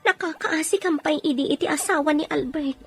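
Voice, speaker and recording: Woman acting a high-voiced, tender Filipino wife. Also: a little fast. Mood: worried. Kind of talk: Filipino audio drama acting.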